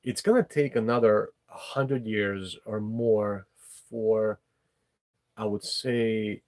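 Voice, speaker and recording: A man's voice: fairly low pitch, fairly even intonation, slow, darkish tone. Rambling man chatting live. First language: not English. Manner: rambling, frank, swears casually. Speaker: livestream host. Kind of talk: unscripted live chat.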